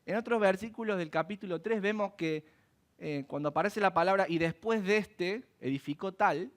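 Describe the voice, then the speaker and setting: A man preaching a sermon in church, animated and asking rhetorical questions. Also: high-pitched, normal speed. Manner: animated, acts out quoted voices and asks rhetorical questions. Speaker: pastor.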